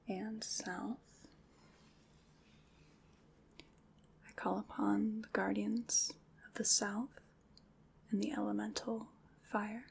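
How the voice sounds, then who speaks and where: A woman playing a calm witch in an ASMR roleplay, leading a ritual in a hushed, soothing, deliberate whisper. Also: medium pitch, gravelly, a little hiss or hum, low-quality recording. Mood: solemn, incantatory.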